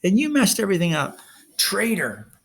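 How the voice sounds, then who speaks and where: Man voicing a menacing, sneering young villain, gravelly edge. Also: high-pitched, wildly swooping pitch, normal speed, voice pushed up, full character voice. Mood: sneering, irritated. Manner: taunting, commanding. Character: menacing, sneering young male villain, smug then enraged.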